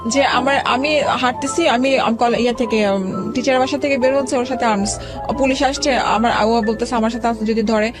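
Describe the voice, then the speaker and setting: A woman in her forties, a guest telling her own life story in an interview, speaking haltingly with many pauses and restarts. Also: a little high, fairly even intonation. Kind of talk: emotional personal account in an interview. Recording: background music under the talk.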